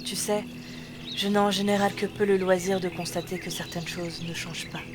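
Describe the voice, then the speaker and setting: Woman playing poised immortal, lowish voice, even intonation, nostalgic, formal, fantasy audio drama. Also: normal speed, natural voice. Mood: warm nostalgia. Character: nostalgic, composed immortal woman on a grave mission. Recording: loud music behind the voice.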